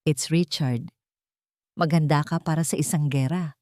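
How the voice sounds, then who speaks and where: A woman's voice: low-pitched, steady tone, a little slow, darkish tone, dry recording, studio quality. A woman voicing a stern, powerful older patriarch in an audio drama, speaking in a firm, unemotional, commanding way. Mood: firm, grave.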